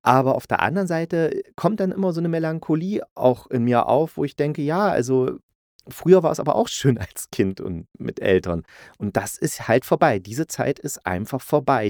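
A man's voice: medium pitch, a little mellow, no echo. Enthusiastic man reviewing, dry close sound. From East Germany. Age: middle-aged. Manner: colloquial, enthusiastic. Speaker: audio drama critic, podcast host. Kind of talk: casual spoken review.

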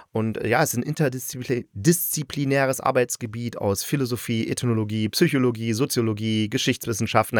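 Low-voiced man giving an enthusiastic casual review. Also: dry recording, clean studio sound. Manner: enthusiastic, informal, rambling. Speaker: radio play reviewer.

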